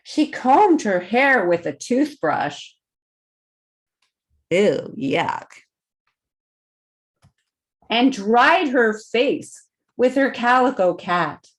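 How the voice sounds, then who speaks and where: A woman hosting a children's storytime, reading a picture book aloud expressively and pausing to put questions to young listeners. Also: a little high. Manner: expressive, deliberate, simple phrasing with questions to children.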